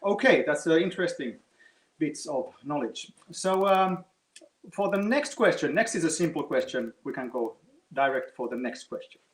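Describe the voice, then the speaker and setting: A man's voice: fairly high pitch, lively intonation, normal speed, roomy sound. A man hosting a live online quiz, chatting to the players and explaining the answers at length between questions. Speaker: quiz host.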